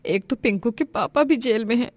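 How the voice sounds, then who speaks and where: A woman's voice: a little high, normal speed, no room sound, pitched above the performer's own voice, natural voice. A woman voicing a poor, devout, protective mother in a story, with an emotional, pleading manner. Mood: dejected.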